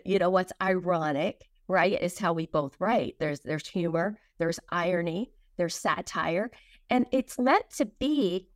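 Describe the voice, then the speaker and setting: A woman with a somewhat low voice, recorded dry with little room sound. She talks as an author being interviewed, laying out her points in deliberate, emphatic short phrases. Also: no echo. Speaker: interview guest, children's author. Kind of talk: conversational interview answers.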